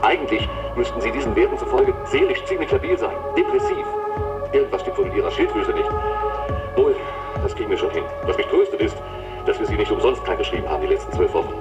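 An actor playing a chatty, breezy company doctor in a radio drama, chummy and officious, heard at a distance over music. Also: male voice, on the low side, huge pitch swings, quick pace, muffled recording. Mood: concerned, breezy.

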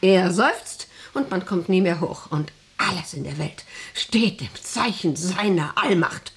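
A grandiose lonely boss lady, husky voice swinging up and down, radio play style, declaims then snaps orders. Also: on the low side, expressive, unhurried, full character voice. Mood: bitter, intense. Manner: declamatory, exalted, abruptly commanding. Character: overwrought factory directress, lonely, grandiose and imperious. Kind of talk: German radio drama acting.

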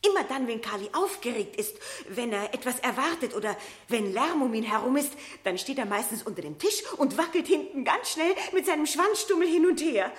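A woman's voice: high-pitched, expressive, a little fast, hardly any pauses, echoey room. An actress in a radio play playing a flustered, agitated mother who pleads desperately and repeats herself. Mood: desperate pleading. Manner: agitated, imploring, repetitive. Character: flustered, desperately pleading mother claiming her lost son. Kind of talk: German radio play acting.

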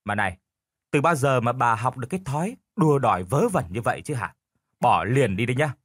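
A gruff older husband from an audio storybook, acted by a male narrator, stubborn and domineering. He grumbles and scolds with lively swings in pitch, recorded dry and close. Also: brisk, dry recording.

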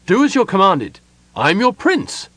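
Young male elf prince, voiced by a male narrator: anguished and imperious, raised pitch, big swings, dry studio sound. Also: very animated, moderate pace, dry recording. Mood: imperious, impatient. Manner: impassioned, urgent, commanding.

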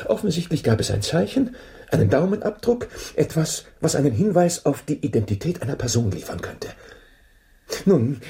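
An actor playing a keen, self-assured male detective in a German radio drama, deliberate and musing as he questions and deduces. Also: medium pitch, vocal fry, warm tone, slightly rough, heavily acted.

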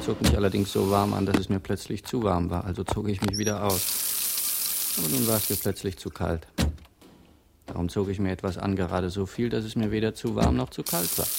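A man's voice: lowish voice, fairly quick, creaky, very noisy. An actor playing a rambling, fussily imprecise man who recounts his ordinary day in a hesitant, self-correcting way in a radio play. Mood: matter-of-fact. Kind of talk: experimental radio play monologue.

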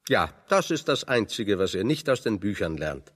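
Low-voiced ironic exiled intellectual. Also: male voice, sing-song, normal speed, a bit bright. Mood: wry, musing. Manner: ironic, discursive, pointed. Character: wry, pessimistic intellectual man in exile, fond of lecturing. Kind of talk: German radio drama dialogue.

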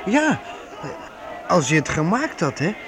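An actor playing a down-to-earth, sceptical companion in a radio play. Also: male voice, high-pitched, big pitch swings, takes it slowly, exaggerated voice. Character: down-to-earth companion prodding a dreamer with questions. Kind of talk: Dutch radio play acting. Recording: noisy radio play with crowd behind.

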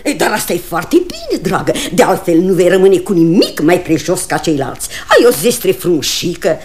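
An actress playing a fussy, nagging old grandmother in a radio comedy, animated, scolding and exclaiming. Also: fairly low pitch. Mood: scolding, persuasive. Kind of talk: radio comedy stage acting. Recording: old radio play with hiss and clicks.

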